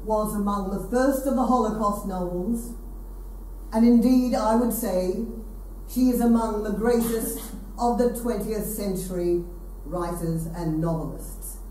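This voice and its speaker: A woman presenting a ceremony to an audience, speaking solemnly in short, measured phrases with pauses. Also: mid-range voice, mellow. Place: hall with seated audience, indoors. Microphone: distant camera. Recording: very echoey room, distant mic, slight noise.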